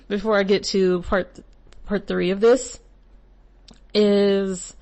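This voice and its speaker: A young woman hosts her own podcast and talks through spiritual goal-setting advice in a loose, chatty way. She wanders into side comments and laughs at herself, and her pitch sits in a middle range for a woman. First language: English. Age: young adult. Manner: chatty and rambling, with frequent asides and laughs. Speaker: podcast host. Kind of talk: casual spiritual advice monologue.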